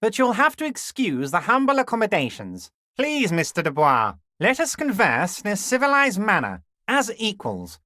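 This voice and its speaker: A man's voice: high voice, wildly swooping pitch, normal speed, hardly any pauses, sharp tone, no echo, full character voice. Sly folksy boss man in a game, lilting all over, patronizing, dead dry sound. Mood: sly, jovial. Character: smooth, scheming, jovial male union boss. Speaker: video game character. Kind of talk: game dialogue voice acting.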